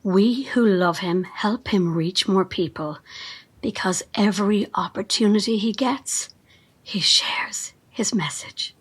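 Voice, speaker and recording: An actress playing a fanatical cult assistant in an audio drama, measured, calmly menacing and reverent, with a breathy, husky voice. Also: female voice, mid-range voice. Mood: devout. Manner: measured, veiled threats, reverent.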